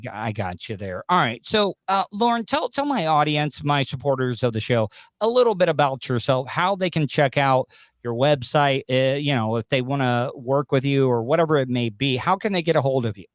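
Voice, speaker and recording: A man's voice: mid-range voice, animated, no echo. Rambling male podcast host, dry studio sound. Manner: rambling, halting delivery. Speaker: podcast host interviewing a guest. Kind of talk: casual interview. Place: small home studio with acoustic foam. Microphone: close studio microphone on a boom arm.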